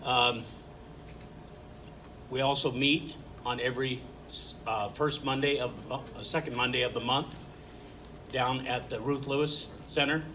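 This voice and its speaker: A man speaks loudly and casually to a room of neighbors, stumbling through his welcome with frequent fillers. His voice has a middling pitch, and clear background noise fills the room around him. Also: fairly even intonation. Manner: loud, informal and halting, with many fillers. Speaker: president of a neighborhood association. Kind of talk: welcoming remarks at a public meeting. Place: community meeting room.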